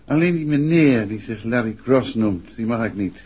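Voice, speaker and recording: An actor playing a calm, probing amateur detective in a 1960s Dutch radio play, with polite, measured questioning. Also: male voice, medium pitch, slightly rough. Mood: relaxed, musing. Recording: muffled vintage 1960s radio recording.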